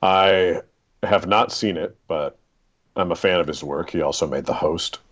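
A man with a very deep, gravelly voice speaking as a guest author, discussing stories in a deliberate, dramatic storyteller's way. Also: fairly bright tone, highs cut off.

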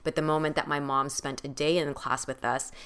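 A woman talking softly and reflectively in casual podcast chat, using lots of filler words. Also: sits low, little pitch movement, brisk, clear, resonant tone. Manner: reflective, soft-spoken, many "like" fillers. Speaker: podcast co-host.

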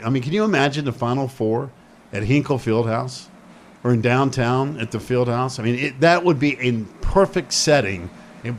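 A man's voice: medium pitch, slight background noise. A man hosting a sports talk radio show, chatting with a co-host and taking phone calls in an emphatic, repetitive way. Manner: chatty, emphatic, repeats himself.

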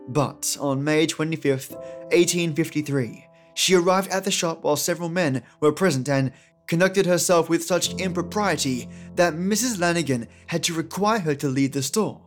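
A man hosting a podcast, giving scripted narration and commentary in a solemn, deliberate storytelling manner. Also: a little high. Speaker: podcast host. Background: soft plucked guitar music.

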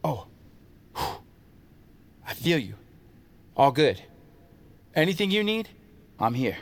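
An actor playing a goofy, loyal young metalworker sidekick in an audio drama, slangy, boyish and eager. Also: male voice, fairly high pitch, very animated, slow pace, heavily acted. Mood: friendly.

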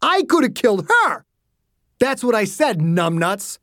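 A narrator acting a belligerent older man, loud and raised. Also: medium pitch, very animated, a little fast, dry recording, clean studio sound, voice pushed up, lightly acted. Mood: nasty taunting. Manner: insulting, foul-mouthed. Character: belligerent fat red-bearded man past middle age.

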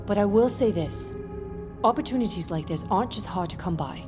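An actress playing a calm, open-minded expedition doctor in an audio drama, reasoned and persuasive. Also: female voice, mid-range voice, a little fast, music in the background, natural voice. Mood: measured, persuasive.

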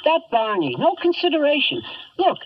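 A muffled old radio recording carries a wispy, kindly little waiter. The voice is extremely high and swoops about, timid and fretful, in 1950s comic character style. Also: male voice, huge pitch swings, moderate pace, heavily acted. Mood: kindly, confiding.

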